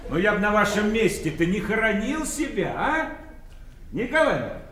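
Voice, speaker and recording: An actor playing a jovial, pushy middle-aged son-in-law in a radio play, coaxing and flattering with endless rhetorical questions. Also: male voice, high voice, fairly quick. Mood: teasing. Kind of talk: Soviet-era radio play acting. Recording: roomy, distant, faintly noisy radio play.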